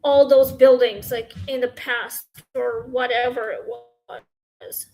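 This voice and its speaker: Woman w a high scratchy voice, slow rambly chat into her phone. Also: steady tone, darkish tone. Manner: rambling, halting, many fillers. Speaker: podcast host. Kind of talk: casual Q&A chat.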